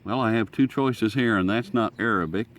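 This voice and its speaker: A man's voice: on the low side, moderate pace. A man giving casual, unscripted commentary as a radio hobbyist, musing and guessing aloud as he goes. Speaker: shortwave radio hobbyist narrating a band scan. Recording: noisy, radio static behind. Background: shortwave radio static and stations.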